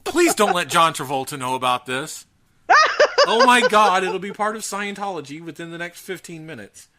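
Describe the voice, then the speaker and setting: A man's voice: a little high, a crowd in the background. A man hosting a podcast, chatting casually and comically, incredulous and often restarting his sentences. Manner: animated, incredulous, halting restarts. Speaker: podcast host. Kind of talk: casual comedic chat about a bizarre news story.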